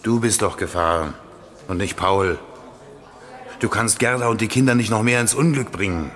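A man plays a distressed working man with a very deep voice in a radio crime play. He is loyal to his friends but torn and unsure of himself. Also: moderate pace, fairly bright tone, lightly acted. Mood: urgent, reproachful. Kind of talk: German radio crime drama acting.

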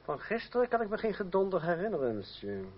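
An actor playing a self-controlled gentleman fencing master in a radio drama, polite, dry and sarcastic. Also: high-pitched, big pitch swings, fast talker. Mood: cool, guarded. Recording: dull noisy old radio recording.

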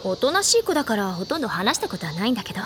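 A woman's voice: medium pitch, very animated, racing, slight background noise, natural voice. An actress playing a smug teenage girl who teases the others in a knowing tone. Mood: teasing. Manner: teasing, smug. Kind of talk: drama CD acting. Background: music.

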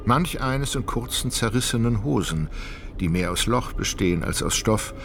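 A man narrating a literary radio drama in a measured, wry manner. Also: lowish voice, expressive. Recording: studio voice over clear music.

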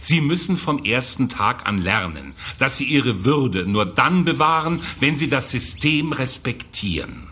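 An actor playing a cynical, callous youth prison director in a German radio drama, condescending and bureaucratic. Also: male voice, mid-range voice, sing-song, measured pace, hardly any pauses, bright, forceful tone, slightly rough, exaggerated voice. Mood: cold, defensive.

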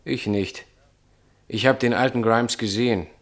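An actor playing an earnest, self-assured snack bar owner and eyewitness in a German radio play, eager and insistent. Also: male voice, medium pitch, a little slow, no room sound, clean studio sound, natural voice. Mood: questioning, insistent.

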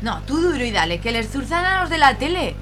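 A woman's voice: sits high, sing-song, fairly quick, a bit bright. A woman playing a blunt, sardonic but loyal market woman in a colloquial audio drama, with music underneath. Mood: brisk, sardonic.

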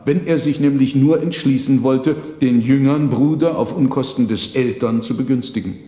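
Radio drama: man playing an eloquent trickster conjurer, deliberate, formal storytelling, set in a reverberant echoing space. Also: medium pitch, mellow, natural voice. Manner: measured, dramatic, formal diction. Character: theatrical trickster conjurer recounting his tale, deliberate and eloquent. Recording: echoey reverberant hall sound.

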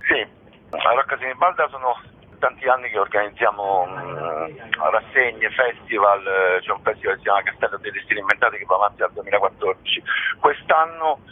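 A man with a fairly low voice comes through a narrow, tinny telephone line. He explains things as an interview guest, hesitating often and stretching out hums while he searches for the next phrase. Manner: hesitant, with frequent drawn-out hums while thinking. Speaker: theatre company director interviewed about a show.

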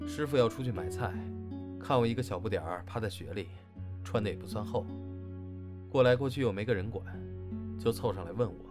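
一位男演员在广播剧里饰演沉稳、宠溺又爱调侃的相声搭档兼恋人，语气含蓄带点揶揄。. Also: male voice, on the low side, brisk, pauses often, natural voice. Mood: wistful recounting. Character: steady, teasing older male comedy partner and lover. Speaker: voice actor. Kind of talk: intimate audio drama dialogue. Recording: music behind the voice.

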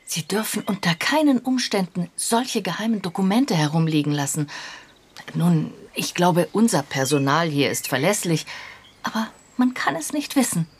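A woman's voice: lowish voice, sing-song, normal speed, a little hiss or hum. An actress playing a strict, cautious French resistance woman who admonishes formally, in a German radio drama. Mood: stern, warning.

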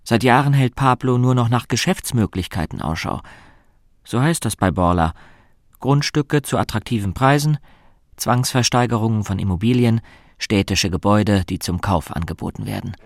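Low-voiced male radio-play narrator. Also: moderate pace, no echo, studio quality. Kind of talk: scripted literary narration for radio drama.

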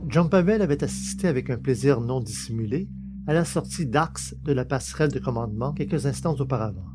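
A man's voice: medium pitch, animated, a little fast. A man reading a science-fiction novel as a scripted, dramatic audiobook narration. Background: music.

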